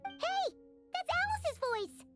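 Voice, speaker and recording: A woman voicing a tiny, excitable childlike fairy companion in a video game, with a squeaky voice and an exclaiming manner. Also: slow, over music, full character voice. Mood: surprised. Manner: exclaiming, refers to itself in third person. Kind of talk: video game character acting.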